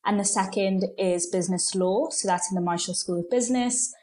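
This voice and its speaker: A woman's voice: medium pitch, brisk, dark tone. Young woman student guest, friendly. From Colombia. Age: young adult. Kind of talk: podcast interview.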